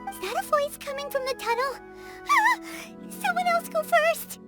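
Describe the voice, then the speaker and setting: A tiny floating companion creature, squeaky and very high, bouncing all over her range. She is chirpy and talks about herself in the third person, with loud game music playing behind her in this cutscene. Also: sing-song, moderate pace. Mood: startled. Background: game soundtrack music.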